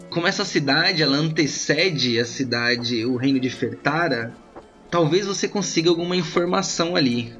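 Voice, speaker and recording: A man acting as game master, improvising roleplay narration in an informal, conversational, halting way. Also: on the high side, a bit bright. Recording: slightly muffled, band-limited audio with music. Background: background music.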